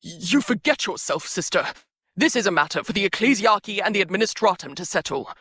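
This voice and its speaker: A woman's voice: mid-range voice, animated, fairly quick, pushed and bright, breathy edge, no room sound, clean studio sound, pitched above the performer's own voice. A woman voicing a pompous, sputtering male archdeacon with a mechanical voice box in a dramatic audiobook. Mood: indignant. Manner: haughty, sputtering indignation.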